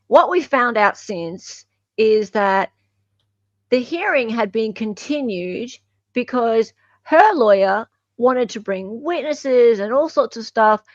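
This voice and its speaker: A woman's voice: medium pitch, no echo, lo-fi sound. An Australian woman hosting a livestream with casual, unscripted commentary, often searching for her words. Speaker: livestream host.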